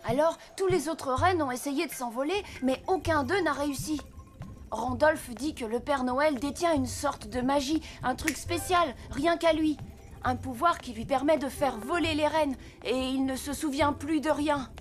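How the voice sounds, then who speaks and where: A woman plays an earnest young boy in an animated series. The voice is very high, with a coaxing, explanatory way of talking. Music runs clearly behind it. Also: moderate pace, natural voice. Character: earnest young orphan boy, Santa's apprentice. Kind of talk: French animated series voice acting.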